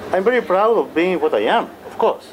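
A man's voice: high voice, lively intonation, fairly quick, lo-fi sound. A middle-aged man from Chile, a poet speaking passionately and emphatically as a panelist at a forum. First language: Spanish. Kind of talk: forum discussion.

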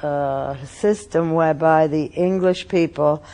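An older woman from Austria telling her story in an oral history interview, halting, with many fillers and pauses. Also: low-pitched, warm tone.

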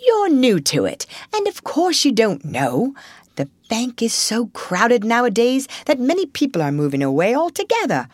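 Storybook water rat , female reader: genial riverside gentleman, talkative and emphatic, very lively pitch movement. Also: normal speed, no echo, clean studio sound. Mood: forbearing, grumbling. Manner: emphatic, chatty, opinionated. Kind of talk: storybook character reading.

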